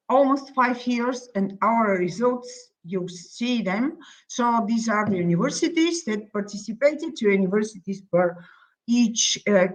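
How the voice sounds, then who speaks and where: A woman from Bulgaria with a Bulgarian accent presents a project as its coordinator, in a halting, emphatic way that repeats words. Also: medium pitch, poor audio. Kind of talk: conference presentation.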